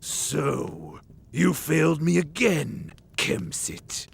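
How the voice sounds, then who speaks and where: A gravelly, cruel general barks orders and threats. His pitch rises and falls widely as he menaces his underlings. Faint noise sits behind him. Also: big pitch swings, extremely slow, full character voice. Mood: cold. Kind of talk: video game cutscene acting.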